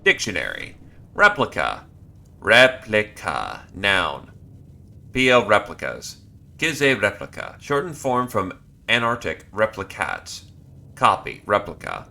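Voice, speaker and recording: Guy streaming a game, reads stuff out loud, gets super loud sometimes, voice jumps all over. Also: on the low side, takes it slowly, gravelly. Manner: reads on-screen text aloud, bursts into loud excited outbursts. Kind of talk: live gameplay commentary.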